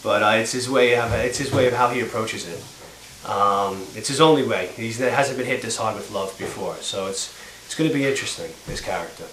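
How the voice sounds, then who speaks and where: A man from Long Island with an American accent, an actor talking about his role in a casual, halting way. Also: lowish voice, fairly bright tone. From Long Island, New York, USA. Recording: roomy with faint noise.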